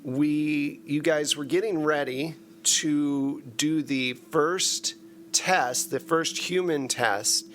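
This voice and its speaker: A man's voice: fairly high pitch, takes it slowly, a bit bright, a little hiss or hum. A man hosting as game master, narrating a tabletop sci-fi game in a conversational, explanatory way.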